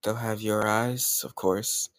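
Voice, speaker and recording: A man's voice: on the low side, steady tone, takes it slowly, a bit bright, no room sound. Doting mafia boss boyfriend, acted by a man, close and dry. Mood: doting, earnest. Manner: affectionate, self-assured, addressing a lover directly. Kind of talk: intimate roleplay audio, scripted romantic monologue.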